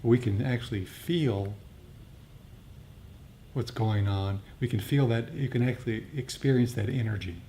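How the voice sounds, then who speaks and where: A man teaching meditation in a talk with questions and answers, speaking in a halting, reflective, explanatory way. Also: mellow. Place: group meditation gathering. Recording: rumbly background noise.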